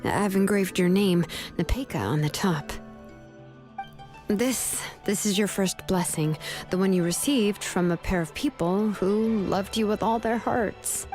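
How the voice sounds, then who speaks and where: A laid-back adult woman smith in a video game, played by an actress. She talks in a relaxed, gentle and reassuring way, with a voice in the middle range. She sounds caring and unhurried. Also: mid-range voice, soft background music, natural voice. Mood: comforting.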